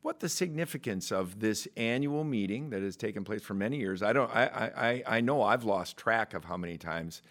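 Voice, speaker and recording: A man's voice: on the low side, animated, a little fast, hardly any pauses, fairly bright tone. A man hosting a podcast interview, joking with his guest and talking in long rambling questions with many restarts. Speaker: podcast host.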